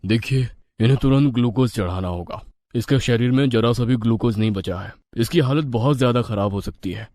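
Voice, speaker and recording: Man voicing a measured village doctor. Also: medium pitch, a little mellow, no echo, clean studio sound, natural voice. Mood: serious. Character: calm village doctor, reassuring and advising. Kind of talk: Hindi moral-story cartoon dub.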